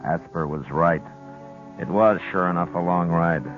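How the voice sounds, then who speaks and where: A steady, laconic American marshal in a vintage radio western. His voice is low and weary with authority, on a dull old recording with music behind it. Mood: grave narrating. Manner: measured, laconic. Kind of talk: 1950s radio western acting and narration.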